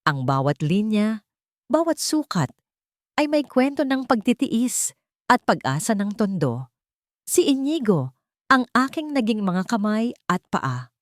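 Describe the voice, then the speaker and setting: A woman's voice: medium pitch, very animated, a little slow, pauses often, no echo, clean studio sound. A woman with a Filipino accent narrating a scripted, dramatic first-person story in the manner of an audiobook.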